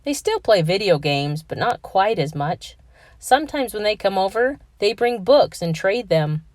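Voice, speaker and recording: A woman reading a children's picture book aloud with expressive storytelling. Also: medium pitch, measured pace, no echo, clean studio sound.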